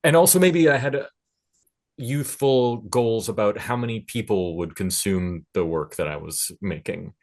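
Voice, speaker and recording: A man speaking as a panelist in a discussion, thinking aloud in a reflective, halting way. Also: fairly low pitch, expressive, darkish tone. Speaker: panelist, record producer and recording engineer.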